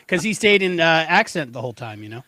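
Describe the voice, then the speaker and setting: A middle-aged man co-hosting a podcast, chatting casually about pop culture in an animated, slangy, emphatic way. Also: high-pitched, no echo. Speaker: podcast co-host.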